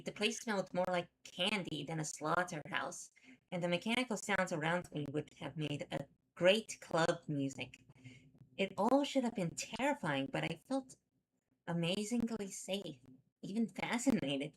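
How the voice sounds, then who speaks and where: Woman acting as comic heroine, slightly low voice, sardonic, exasperated, stubborn, dramatic first-person storytelling. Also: expressive, measured pace, slight background noise, low-quality recording. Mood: wry, wondering. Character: exasperated, sarcastic, stubborn woman narrator of horror webcomic.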